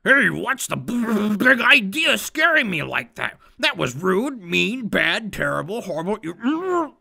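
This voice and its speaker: A man's voice: high-pitched, very animated, measured pace, pushed and bright, pitched above the performer's own voice, full character voice. A man voicing a whiny, indignant, gluttonous male cartoon turtle henchman in a strained, exaggerated way. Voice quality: gravelly, strained.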